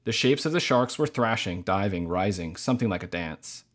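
Guy with a lowish voice, novelist on a podcast, measured and thoughtful. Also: animated. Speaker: novelist guest. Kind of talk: literary reading and thoughtful interview answers.

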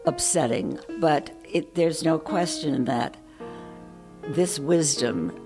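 A woman's voice: low-pitched, expressive, takes it slowly, over music. An older woman with a New York accent, speaking frankly and ruefully in an interview.

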